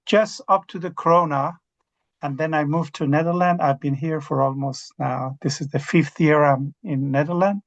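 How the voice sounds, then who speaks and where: A man's voice: fairly high pitch, steady tone, normal speed, no echo, dull audio. Courteous man from Iran on a call. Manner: formal and courteous. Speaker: course participant, former tour guide. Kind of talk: polite self-introduction. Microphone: video call.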